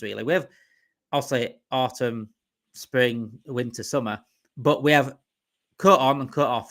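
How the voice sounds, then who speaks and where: A man's voice: medium pitch, fairly bright tone. A man from Yorkshire with a Yorkshire accent, hosting a casual live fan stream, rambling and repeating himself as he reads out viewer comments. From Yorkshire, England. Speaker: livestream host.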